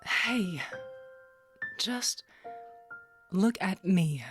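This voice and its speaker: Expressive, coaxing wife played by a woman. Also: medium pitch, extremely slow, soft background music. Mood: gently coaxing. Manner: pleading, encouraging, expressive. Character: Naya, wife and former writer, coaxing her stubborn husband. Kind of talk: audio drama dialogue.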